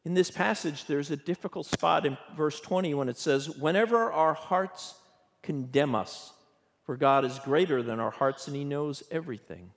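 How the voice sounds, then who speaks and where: An older man, a bishop, preaching a sermon from a pulpit in a church sanctuary, measured and questioning in tone. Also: on the high side. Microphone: pulpit microphone. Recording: long church reverb.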